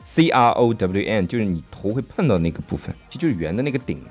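Lively, chatty man spelling out words. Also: lowish voice, fast talker, faint music behind. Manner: checks in with the partner often, spells words letter by letter. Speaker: podcast co-host teaching English. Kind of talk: casual bilingual chat, explaining English words. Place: studio in Sydney.

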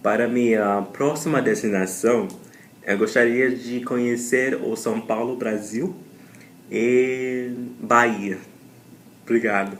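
Slow-paced man, careful learner read. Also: mid-range voice, fairly even intonation. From southern United States. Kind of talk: recorded viewer message.

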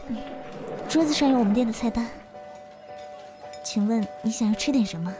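A woman playing a soft-spoken, tender young woman in a radio drama, gentle and polite. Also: a little high, brisk, natural voice. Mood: polite, subdued. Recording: music behind the voice.

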